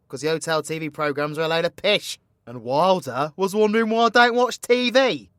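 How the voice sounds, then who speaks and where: A man's voice: mid-range voice, no room sound, close to an everyday voice. A British man playing a cocky, foul-mouthed, vengeful supervillain magician in an audio roleplay, with slangy, theatrical, teasing banter. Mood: grumpy, sardonic. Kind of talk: ASMR-style audio roleplay, addressing an unheard companion.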